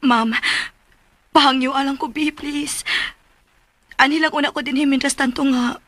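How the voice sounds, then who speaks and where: A woman's voice: sits high, steady tone, moderate pace, a bit bright, no room sound. An actress playing a meek, anxious and polite young woman in a radio drama, with a Filipino accent. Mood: pleading.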